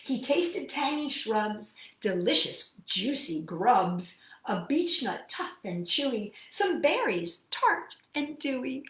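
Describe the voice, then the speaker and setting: A woman with a high voice leads a children's storytime in a roomy, echoing space. She is expressive and talks straight to her young listeners. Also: slow, few pauses. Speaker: children's storytime host and singer. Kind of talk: children's storytime read-aloud and sing-along.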